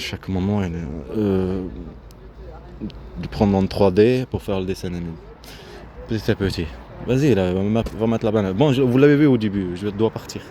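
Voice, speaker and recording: A man giving a casual, rambling vlog commentary in a halting, repetitive way, often breaking off his own sentences. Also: fairly low pitch, warm tone. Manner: halting, repetitive, self-interrupting. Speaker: vlogger. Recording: noisy outdoor recording with vehicle sounds.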